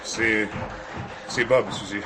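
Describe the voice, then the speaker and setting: A halting, uneasy man in an old crime drama, with an average-pitched voice. He sounds a little distant from the microphone, under loud music. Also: steady tone. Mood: uneasy, grave. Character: pale, hesitant male bearer of bad news. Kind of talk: 1970s French radio crime play acting. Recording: off-mic, loud music behind.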